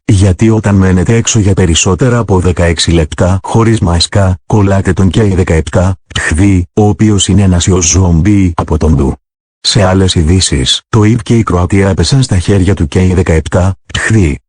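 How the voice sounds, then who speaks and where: A man's synthetic voice, low and husky, delivers a formal scripted emergency bulletin. He sounds like a government announcer, recorded dry with no room sound. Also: darkish tone, no echo.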